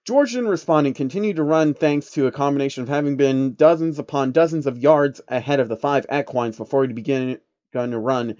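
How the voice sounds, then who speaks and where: Guy reading a fanfic out loud, sweary side comments, dry kinda muffled mic. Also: mid-range voice, fairly quick, no room sound. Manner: irreverent, sweary asides. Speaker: narrator of online fan fiction. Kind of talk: casual storytelling with comic asides.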